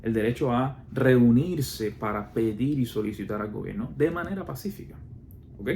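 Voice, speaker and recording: Hesitant Cuban man, mellow voice. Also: mid-range voice, animated, moderate pace, slight background noise. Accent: Cuban Spanish. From Cuba. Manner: hesitant, many fillers and restarts, rhetorical questions. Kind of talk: casual explanatory talk.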